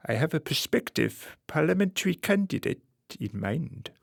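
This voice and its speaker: A man acting a suave, calculating political fixer in a radio play, speaking formally and deliberately with quiet menace. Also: a little high, sing-song, vocal fry, dry recording, studio quality, full character voice. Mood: smooth, persuasive. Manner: formal, measured, deliberate phrasing.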